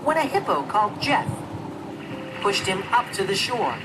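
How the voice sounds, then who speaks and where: A storyteller narrating a rhyming children's story in an expressive, measured storybook delivery. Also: medium pitch, background noise. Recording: loud music under the voice. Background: music.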